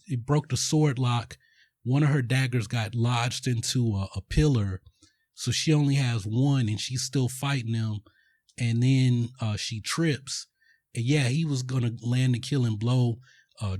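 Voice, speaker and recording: A man in the middle of a casual podcast recap, rambling through a film plot with plenty of ums and restarts. His voice sits at an ordinary middle pitch. The recording is dry and close, with no room sound. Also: darkish tone, no room sound. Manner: rambling, filler-heavy storytelling. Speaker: podcast host.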